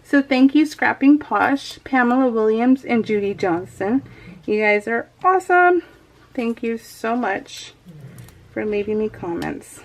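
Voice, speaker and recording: A woman chatting casually to her viewers as a craft vlogger, in an excitable, rambling, giggly way. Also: a little high, measured pace. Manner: addresses viewers familiarly. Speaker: craft vlogger hosting a scrapbooking video.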